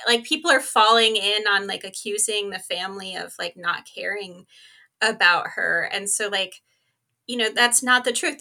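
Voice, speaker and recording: Bright-voiced woman, earnest podcast guest. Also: medium pitch. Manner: earnest, informal, frequent "like" and "you know". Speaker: podcast co-host being interviewed as guest. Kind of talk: conversational interview talk.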